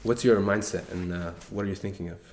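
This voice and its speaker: A man hosting an interview, asking halting questions filled with ums and uhs. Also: deep voice, steady tone, darkish tone, a little hiss or hum. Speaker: podcast host. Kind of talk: casual interview conversation. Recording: echoey room.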